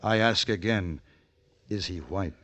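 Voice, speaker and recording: Steady gunslinger peacemaker, crisp bright voice, measured and firm, old radio western. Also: male voice, low voice, highs cut off. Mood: grave. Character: calm, principled gunfighter, firm peacemaker. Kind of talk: vintage radio western acting. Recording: vintage radio recording.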